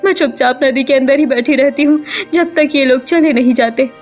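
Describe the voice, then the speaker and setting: Woman voicing a high-pitched emotional mermaid mother. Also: moderate pace, a little mellow, faint music behind, lightly acted. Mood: sorrowful, resolute. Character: tender, self-sacrificing young mermaid mother. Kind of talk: Hindi cartoon story character voice.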